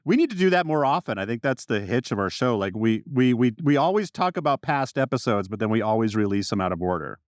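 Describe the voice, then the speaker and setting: A man co-hosting a podcast, chatting casually in an animated, jokey way and jumping in often. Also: medium pitch, fast talker, few pauses, no room sound. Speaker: podcast co-host. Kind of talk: casual chat about a science fiction series.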